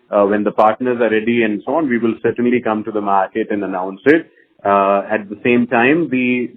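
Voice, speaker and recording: Male company executive, measured and hesitant, narrow telephone-conference audio. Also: lowish voice. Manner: measured, hesitant, corporate phrasing. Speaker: company executive answering investor questions. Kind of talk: earnings call answers. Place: conference call. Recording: thin band-limited conference phone line.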